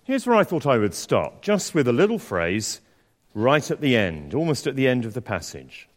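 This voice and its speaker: A man preaching a sermon and reading scripture aloud, with a slightly croaky voice and a conversational, self-deprecating manner full of fillers and restarts. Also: medium pitch, big pitch swings, normal speed. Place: church. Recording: long church reverb.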